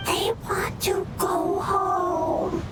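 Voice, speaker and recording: A woman's voice: very high-pitched, flat delivery, very slow, over loud music, heavily acted, poor audio. A woman voicing a small, childlike fungus creature that speaks in clipped, broken phrases in a video game. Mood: pleading. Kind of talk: anime video game dialogue.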